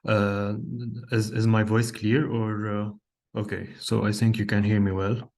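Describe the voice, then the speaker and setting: A man's voice: fairly low pitch, level tone, measured pace, gravelly, a little mellow. A young man from Lebanon, a cardiologist, giving a live online lecture over a video call, hesitant with many fillers and restarts and questions to his audience. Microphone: computer mic on a video call.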